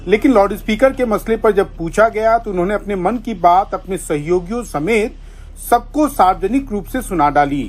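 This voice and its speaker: A woman's voice: mid-range voice, expressive, moderate pace, darkish tone. A woman reading a scripted television news report.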